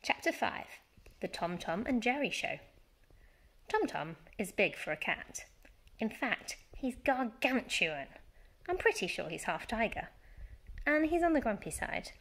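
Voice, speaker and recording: A woman reading a children's storybook aloud as a teacher, with animated, dramatic emphasis. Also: fairly high pitch, unhurried. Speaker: primary school teacher reading story time.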